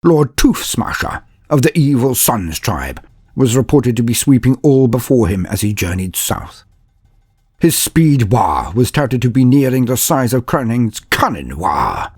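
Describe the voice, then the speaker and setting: A man's voice: mid-range voice, sing-song, unhurried, darkish tone, slightly rough, no room sound. A man narrating a comedic fantasy war story in a dramatic, mock-epic way with wry asides. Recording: dry close recording with faint music.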